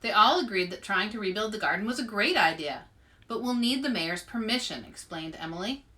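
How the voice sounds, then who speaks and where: Bright woman, a little off the mic. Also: medium pitch, brisk, distant mic. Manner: animated, expressive delivery to young listeners. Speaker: children's author and host reading aloud. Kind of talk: children's read-aloud and craft demonstration.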